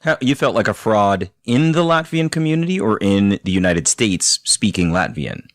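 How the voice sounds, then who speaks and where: A man with a lowish voice hosts a podcast, moving between attentive questions and recap narration. His delivery is lively and varied in pitch, and the sound is dry and close. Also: moderate pace, no room sound. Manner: attentive, frequent affirming murmurs.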